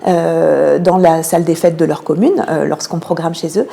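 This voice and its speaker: A woman giving explanatory answers as a guest in a radio interview, often pausing on drawn-out hesitations. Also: low voice, fairly even intonation, creaky, warm tone. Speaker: theatre programming manager, interview guest.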